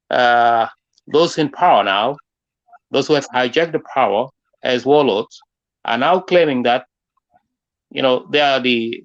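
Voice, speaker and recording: A man's voice: mid-range voice, dull audio. A man from South Sudan with a South Sudanese accent, hosting an unscripted political discussion in a discursive way, often saying "you know". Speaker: interviewer, forum host.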